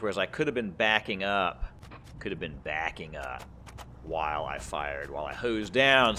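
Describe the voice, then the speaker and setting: A man hosting a gaming video, giving casual gameplay commentary, excitable and thinking aloud as he plays. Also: low voice. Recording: faint background noise.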